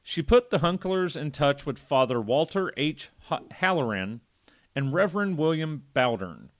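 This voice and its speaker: A man's voice: on the high side, lively intonation, moderate pace. A man hosting a podcast, reading a story aloud and breaking off for casual, irreverent, sweary asides. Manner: irreverent, profane. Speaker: podcast host.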